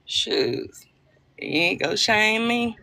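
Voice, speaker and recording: Woman, gravelly voice, bright edge, playful live-chat host on a phone. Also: mid-range voice, very animated, slow. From Arkansas, US South. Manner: chatty, playful, laughs often, greets viewers by name. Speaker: livestream host. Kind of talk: casual live-stream chat with viewers.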